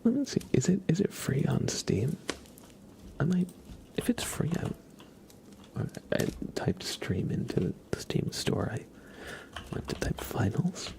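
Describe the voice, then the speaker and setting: Young guy whispering on an asmr stream, gravelly fry, rambly and casual. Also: fairly high pitch, huge pitch swings, unhurried, long pauses, dark tone. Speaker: livestream host. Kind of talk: whispered ASMR livestream chat.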